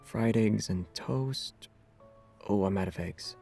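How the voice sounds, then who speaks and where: An actor playing a calm, reserved young man, a secretive hunter, with an unhurried, nonchalant manner in scripted game dialogue. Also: lowish voice, vocal fry, faint music behind, exaggerated voice. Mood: casual, mild.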